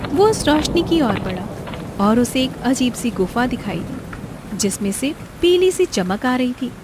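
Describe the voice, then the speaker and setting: A woman's voice: sits high, very animated, measured pace, heavy background noise. A woman reading scripted narration for a cartoon moral story. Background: background music.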